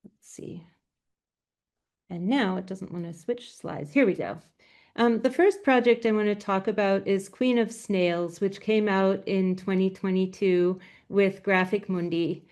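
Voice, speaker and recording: A soft-spoken woman gives a presentation as a guest speaker. Her voice is quiet but smooth and clear, at a middle pitch for a woman. It carries the slight thinness of a video call. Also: measured pace. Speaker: guest speaker, graphic novelist.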